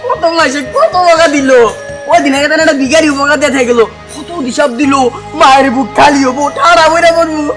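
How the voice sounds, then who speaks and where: A man's voice: extremely high pitch, a little fast, loud music behind the voice. A man with a Bangladeshi accent playing a menacing, swaggering young gang boss who scolds in colloquial speech in a short-film drama. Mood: mocking.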